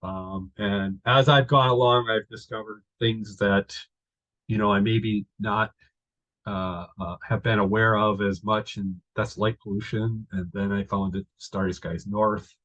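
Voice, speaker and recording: Hesitant low-voiced man presenting slides. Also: fairly even intonation, a little slow, a bit bright. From North Dakota, US. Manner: hesitant, many ums and restarts. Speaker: guest presenter, astrophotographer. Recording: dull lo-fi webinar audio.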